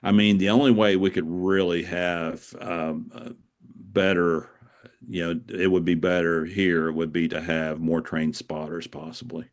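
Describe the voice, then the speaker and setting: A man with a low-pitched voice talks as an author in an interview. He explains things step by step, in an informative and conversational manner. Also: level tone, a little slow.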